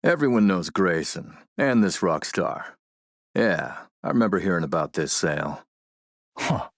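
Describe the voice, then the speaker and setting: A man playing a shrewd, menacing militia boss and smooth-talking dealmaker in a video game, gravelly, measured, self-assured and wry. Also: sits low, expressive, dry recording, studio quality, full character voice. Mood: alert, then wry, boastful. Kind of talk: video game character dialogue.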